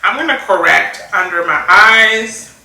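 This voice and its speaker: A woman from Rwanda in her thirties, chatting casually and softly while doing her makeup, rambling with lots of fillers and restarts. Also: medium pitch, lively intonation, measured pace, gravelly, recorded from a distance. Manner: soft-spoken, rambling, many fillers and restarts. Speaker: beauty vlogger. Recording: echoey room, voice a bit off-mic.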